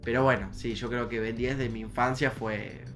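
A man's voice: mid-range voice, steady tone, moderate pace, over music. A young man with an Argentine accent chatting casually as a podcast co-host, slangy and prone to repeating his phrases. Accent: Argentine Spanish. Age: young adult. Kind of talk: casual chat.

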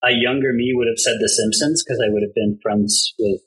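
A man's voice: medium pitch, steady tone, a little fast, a bit bright, husky, rough recording. A man in his thirties from Colombia, chatting casually as a podcast guest, rambling and laughing often. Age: 30s. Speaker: podcast guest, background artist. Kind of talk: casual chat.

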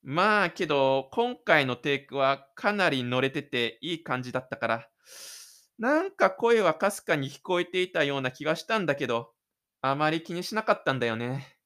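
A man voicing a shy, stubborn high school boy in an audio drama. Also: sing-song, moderate pace, fairly bright tone, clear, resonant tone. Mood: apologetic, offhand.

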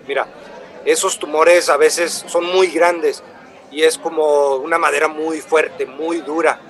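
Earnest traveling buyer, slightly high voice. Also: male voice, fairly even intonation, slow, a bit bright. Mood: patient explaining. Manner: explanatory, earnest. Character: earnest, polite traveling walnut-wood buyer, eager. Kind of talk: radio drama dialogue. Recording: crowd murmur of a café behind.

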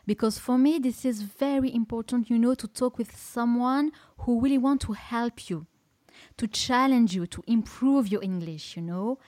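French lady podcast host, french accent, kinda high voice, dry close mic. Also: moderate pace, a little mellow, dry recording. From France. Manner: encouraging, non-native phrasing with small slips. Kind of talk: casual interview in English.

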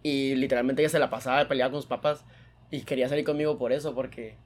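A young man from Mexico, chatting casually as a podcast host, slangy and animated. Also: mid-range voice. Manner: slangy, animated, fast storytelling. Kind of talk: casual chat.

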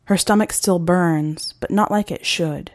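Slow, measured woman reading fiction. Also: on the low side, dry recording. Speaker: podcast host reading a short story. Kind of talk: scripted fiction narration.

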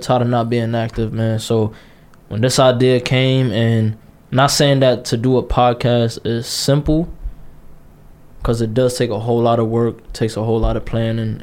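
A man's voice: mid-range voice, darkish tone. A man in his late twenties co-hosting a casual podcast, talking bluntly and passionately, repeating himself and cursing freely. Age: 20s. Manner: blunt, repetitive, passionate, curses a lot. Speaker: podcast co-host.

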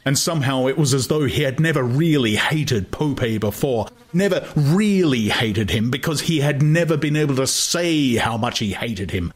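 A man narrating a literary audiobook in a dramatic, intense way, with deliberate phrasing. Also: medium pitch, lively intonation, breathy edge.

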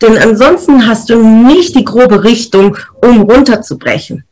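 Woman, 30s, higher register; motivational podcast host, explains step by step. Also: unhurried, low-quality recording. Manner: lively, explains step by step with frequent "ja?" check-ins. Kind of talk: motivational talk on goal setting.

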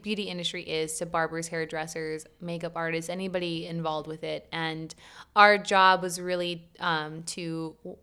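A woman's voice: on the low side, level tone, normal speed, sharp tone, clear, resonant tone. A woman, a podcast guest and company co-founder, explaining her work in an upbeat, casual way. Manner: upbeat, explanatory, many fillers like "um" and "like". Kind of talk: casual chat.

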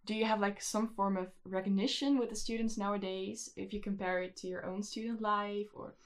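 Woman host, medium pitch, hesitant and polite interviewer, plain conversational delivery. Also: fairly even intonation, fairly quick. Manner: hesitant questions with uh fillers. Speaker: podcast host. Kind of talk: interview hosting.

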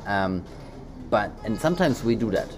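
A man's voice: on the low side, sing-song, moderate pace, over music. A man whose first language is German, co-hosting a casual motivational podcast and talking in loose, rambling sentences full of restarts. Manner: rambling, many restarts and fillers. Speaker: podcast co-host. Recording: background noise.

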